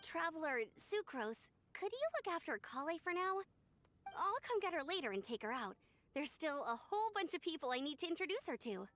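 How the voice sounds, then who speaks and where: A woman voicing a peppy, outgoing, warm-hearted young female adventurer in a video game, energetic and encouraging. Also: high voice, brisk, a little hiss or hum. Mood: cheerful, breezy.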